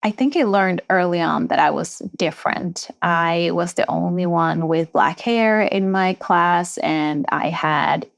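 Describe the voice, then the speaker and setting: A woman in her thirties from Sweden, a founder telling stories from her life in a reflective, warm way in a podcast interview. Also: fairly low pitch, a little slow, creaky, hardly any pauses. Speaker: entrepreneur guest.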